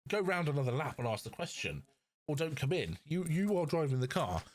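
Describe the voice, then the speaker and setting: A man's voice: a little high, sing-song, brisk. A man co-hosting a podcast, talking casually through motorsport in an opinionated, explanatory way. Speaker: podcast co-host.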